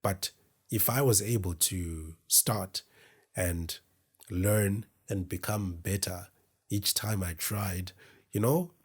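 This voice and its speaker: A man from Zimbabwe with a deep voice, talking to camera in a casual, meandering, self-deprecating way as host of his own show. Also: takes it slowly, breathy edge. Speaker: podcast host. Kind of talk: casual solo talk to camera. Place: indoors in front of a camera.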